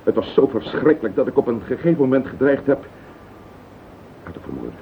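Radio drama husband: bitter, guilt-ridden, halting, swinging widely in tone. Tinny old recording. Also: male voice, medium pitch, sing-song, slight background noise. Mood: haunted, troubled. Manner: halting, emotional, self-justifying. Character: distraught husband confessing a murderous marriage, bitter and guilt-ridden. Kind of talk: radio play acting.

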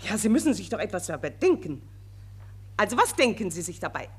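Gushing woman war correspondent, old German radio drama; very animated, slightly husky, high-flown and pressing. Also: brisk. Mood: insistent, exasperated. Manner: theatrical, high-flown, insistent. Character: pushy, gushing female war correspondent interrogating a soldier. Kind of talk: 1940s German radio play acting.

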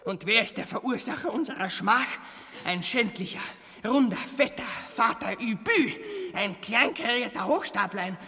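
An actor playing a bombastic young prince and devoted son, pompous and declamatory, in a comic radio drama. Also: male voice, sits high, animated, moderate pace, sharp tone, big-room sound, full character voice. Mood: outraged. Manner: pompous, declamatory, sputtering insults.

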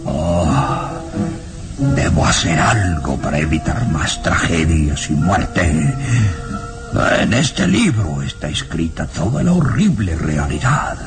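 An actor playing a frightened, nervous professor in a melodramatic radionovela, with anguished, trembling exclamations. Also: male voice, low-pitched, sing-song, slow, heavily acted. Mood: fearful. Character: frightened, nervous professor horrified by dark secrets. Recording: old radio drama, very loud music.

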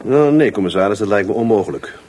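A man acting as a Dutch police investigator in a radio play. He has a relaxed, mid-pitched voice and a dry, blunt, offhand way of talking, over faint ambient noise. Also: quick pace, close to an everyday voice. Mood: dry, matter-of-fact. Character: blunt, wry, unhurried male police investigator. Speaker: actor in a radio drama. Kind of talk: radio drama dialogue.

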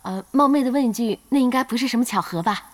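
An actress playing a young female team boss in an audio drama, curious, playful and easily flustered, with expressive hesitations. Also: fairly high pitch, quick pace, lightly acted. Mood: awkward, flustered. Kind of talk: Chinese audio drama acting.

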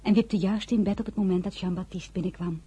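An actress playing a tender young newlywed wife who tells her own story in a radio drama, with a husky, breathy voice. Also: medium pitch, fairly even intonation, a little fast, warm tone. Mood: calm narrating. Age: young adult. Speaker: narrator and lead character. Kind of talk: radio drama with first-person narration.

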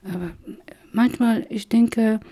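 Afghan-accented woman, middle-aged, halting and hesitant, dark mellow tone, flat pitch. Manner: halting, many hesitations, slow and searching for words. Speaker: interviewee. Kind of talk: personal testimony.

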